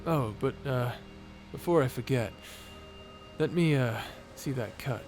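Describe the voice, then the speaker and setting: A man acting a nervous, unhurried pirate captain. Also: medium pitch, darkish tone, close to an everyday voice. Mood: self-deprecating, caring. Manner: hesitant, nervous laughter between phrases. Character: flirty, self-deprecating adult male space pirate captain in love. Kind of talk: intimate ASMR roleplay acting. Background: music.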